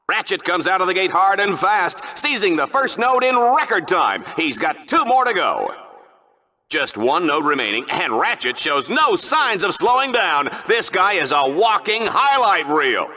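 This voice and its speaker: High, bright, hammy game-show announcer. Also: male voice, full character voice. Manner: showy, theatrical, hammy. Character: slick, goofy, over-excited male game-show sports announcer. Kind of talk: hyped sports commentary in a video game.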